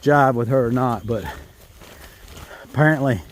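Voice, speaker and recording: A man's voice: mid-range voice, measured pace, a little hiss or hum. Folksy North Carolina man hiking outdoors. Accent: North Carolina Southern US. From North Carolina, USA. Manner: rambling, folksy, self-correcting. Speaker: hiking vlogger. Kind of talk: casual walking vlog to camera. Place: outdoors on a rural trail in the rain.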